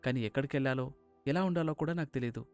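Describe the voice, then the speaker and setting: A man voicing a hurt, earnest young husband in an animated moral story, sounding aggrieved. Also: mid-range voice, quick pace, soft background music, natural voice. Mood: remorseful.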